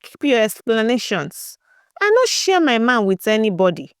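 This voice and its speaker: A woman narrator plays a jealous, defiant young girlfriend in a told folktale. She is confrontational and proud, slipping into Nigerian Pidgin, with a high, lively pitch. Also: normal speed, smooth, no room sound, clean studio sound. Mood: accusing.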